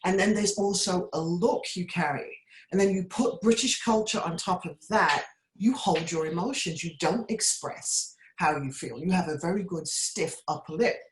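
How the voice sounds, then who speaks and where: A woman's voice: fairly low pitch, moderate pace. Woman, from the UK, panelist, candid storyteller, roomy reverberant sound. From United Kingdom. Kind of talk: panel discussion. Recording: roomy echo.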